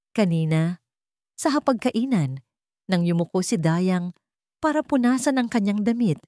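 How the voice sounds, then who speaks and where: A woman's voice: mid-range voice, darkish tone, no room sound, clean studio sound. A woman narrator reading a scripted dramatic story.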